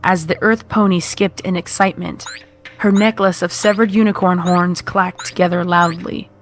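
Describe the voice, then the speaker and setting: A woman with a lowish voice narrates a horror tale from a script. Music plays clearly behind the reading. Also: fairly even intonation, moderate pace.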